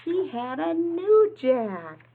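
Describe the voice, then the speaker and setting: A woman's voice: high-pitched, big pitch swings, extremely slow, narrow, tinny audio. A woman reading a picture book aloud like an early-childhood teacher, talking simply and instructively to young listeners and asking them questions.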